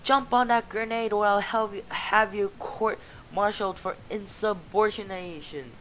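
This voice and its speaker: A man's voice: high voice, steady tone, measured pace, fairly bright tone, a little hiss or hum, pitched above the performer's own voice, poor audio. A man voicing a fanatical, ruthless male soldier recruiting students and barking orders.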